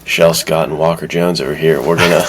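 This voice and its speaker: A man's voice: very deep voice, flat delivery, a little fast, background noise. A man co-hosting a podcast, talking casually and enthusiastically about films with strong opinions. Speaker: podcast co-host. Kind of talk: casual film discussion.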